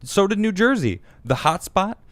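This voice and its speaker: An American man hosting a casual political talk show, getting animated and indignant on the news, often restarting his sentences. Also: sits high, normal speed, no echo. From United States. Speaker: host.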